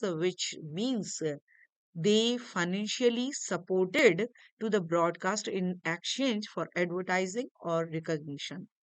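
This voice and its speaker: Slow, smooth-voiced woman giving a lesson. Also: fairly low pitch, no room sound. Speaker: lecturer presenting a history lesson. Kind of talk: slide-by-slide educational lecture, read from notes.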